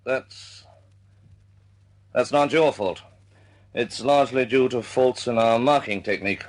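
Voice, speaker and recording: An actor playing a polite senior air force officer in a wartime radio drama, halting, courteous and understated. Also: male voice, mid-range voice, close to an everyday voice. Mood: halting, measured.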